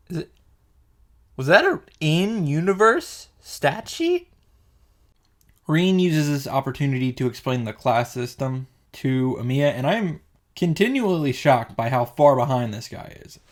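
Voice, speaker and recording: A man hosting a game reaction, reading visual novel text aloud and adding informal, sweary commentary. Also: on the high side. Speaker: video game reaction host. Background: game music.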